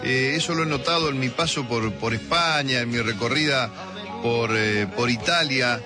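A man with an Argentine accent hosting a radio interview, chatty and joking, quick to agree with his guest. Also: medium pitch. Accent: Rioplatense Spanish. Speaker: radio host. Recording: radio broadcast with music behind.

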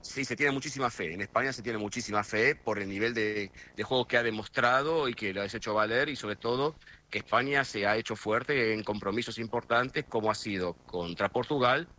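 A man's voice: mid-range voice, fairly quick, pushed and bright. A middle-aged Argentine man from near Buenos Aires, a guest correspondent on a radio phone interview, talkative and full of hesitations and restarts. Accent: Argentine, Buenos Aires area.

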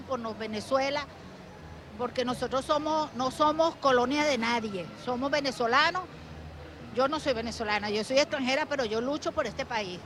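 A woman with a Colombian accent speaks passionately outdoors in a city street, repeating herself like slogans. Clear street noise fills the background behind her. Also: fairly high pitch, fairly bright tone. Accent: Colombian Spanish. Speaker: interviewed resident. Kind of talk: street interview.

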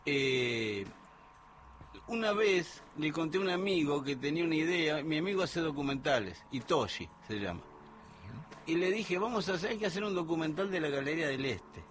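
A man's voice: on the high side, animated, moderate pace, a bit bright, a little hiss or hum. A man, a radio guest chatting eagerly and chiming in often. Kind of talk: casual radio conversation.

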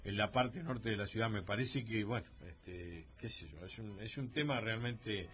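A man's voice: on the low side, moderate pace, a bit bright, slight background noise. A man hosting a radio talk show, thinking aloud with many hesitations and fillers. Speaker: radio host.

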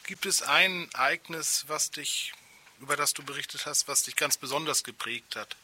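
A man's voice: a little high, moderate pace, pushed and bright, a little hiss or hum. A man presenting a radio programme as host and interviewer. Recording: music behind.

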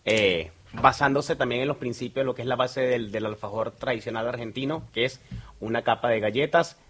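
A man's voice: mid-range voice, brisk, a bit bright, slightly rough. A man from Venezuela with a Venezuelan accent, a company sales manager speaking as a guest in a radio interview, earnest and promotional, with many fillers.

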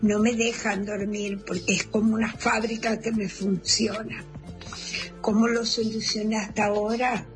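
An elderly Argentine woman in her eighties leaving a chatty, affectionate, unhurried personal message for a radio show. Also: mid-range voice, flat delivery, music in the background.

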